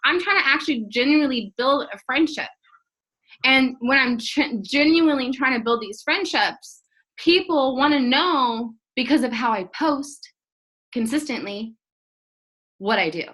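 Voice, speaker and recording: A woman in her thirties talks through a team training, with a bright, forceful tone and a fairly high pitch. She rambles a little, leans on "like" and restarts, and keeps her words earnest and encouraging. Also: lively intonation, a little slow, poor audio. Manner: chatty, filler-heavy, rambling. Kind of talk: casual training talk.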